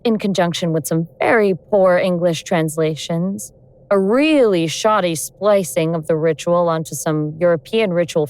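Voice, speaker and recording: Woman playing a low-voiced, scornful ancient goddess. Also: darkish tone, lightly acted, low-quality recording. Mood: matter-of-fact, explaining. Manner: sardonic, world-weary, deliberate explaining. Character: awakened ancient vengeance goddess in a human woman, wry, scornful. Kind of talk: audio drama acting.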